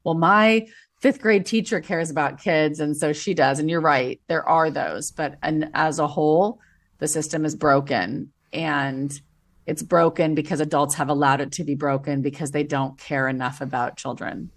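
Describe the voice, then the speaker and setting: Blunt, emphatic woman with a low voice. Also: fairly even intonation, moderate pace. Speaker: podcast guest, former teacher. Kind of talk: casual podcast conversation.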